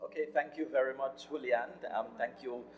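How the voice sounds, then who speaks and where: A man's voice: mid-range voice, fairly even intonation. Jamaican man on a conference panel. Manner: measured, with frequent um fillers. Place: conference hall. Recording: hall reverb, faint room noise.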